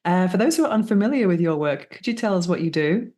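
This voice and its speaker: A woman hosting a podcast, reading an ad and introducing her guest in a measured, scripted way. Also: fairly low pitch, expressive, a little mellow. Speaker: podcast host. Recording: music bed under voice.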